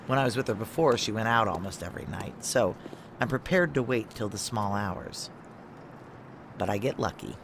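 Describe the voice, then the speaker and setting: A woman's voice: a bass voice, normal speed, very noisy. An actress playing a brash, foul-mouthed, hard-boiled woman operative, wry and blunt, in a noir audio drama. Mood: wry, matter-of-fact.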